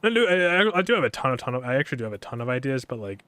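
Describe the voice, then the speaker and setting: A young man streaming a game, talking in a casual, rambling way with a monotone delivery, full of fillers and restarts. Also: mid-range voice, racing, no room sound. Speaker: gaming streamer.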